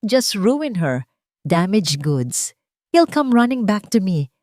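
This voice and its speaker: A woman's voice: mid-range voice, sing-song, slow pace, warm tone, dry recording, studio quality. A woman voicing a scheming, spiteful rich ex-fiancee in a dramatic audio romance story. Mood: cold, spiteful.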